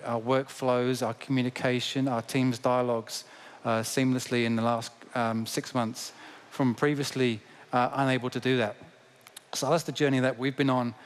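A man in his fifties, a guest on a conference stage panel, speaking steadily with many ums through a headset mic. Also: mid-range voice. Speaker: company tech executive guest. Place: large conference stage before an audience.

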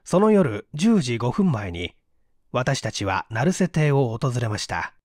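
A man narrating a mystery story as an audiobook, in a measured, literary and dramatic storytelling manner. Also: medium pitch, big pitch swings, darkish tone, no room sound, clean studio sound. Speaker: audiobook reader, stage actor.

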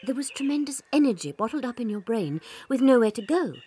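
A kind young British schoolteacher in a dramatized children's audiobook. She is soft-spoken and reassuring, with a slightly high, husky-edged voice that moves expressively up and down. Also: expressive, fast. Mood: tender, warm.

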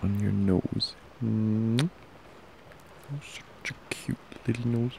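A man doing an intimate boyfriend ASMR roleplay close to the mic, murmuring softly, drowsily and affectionately. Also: fairly even intonation, slow, noisy background. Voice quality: low, gravelly, creaky. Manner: soft, drowsy, affectionate murmuring, often breathy half-whisper. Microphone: close ASMR microphone.

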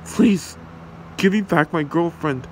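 A man's voice: fairly high pitch, slow pace. A man voicing an earnest, desperate young hero and devoted boyfriend in an ASMR roleplay, with a pleading manner. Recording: clearly noisy home recording, steady hum.

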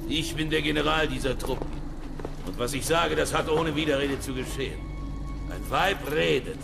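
An actor playing a boastful, hot-tempered, gaunt Kurdish chieftain in a radio drama, blustering and commanding. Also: male voice, a little high, normal speed, creaky. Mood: imperious. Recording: music behind the voice.

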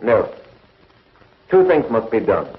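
An actor playing a stern, urgent commissioner in a 1950s television sci-fi serial, speaking emphatically. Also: male voice, on the low side, very animated, slow pace. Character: stern, urgent space commissioner leading the response. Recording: dull vintage TV soundtrack.

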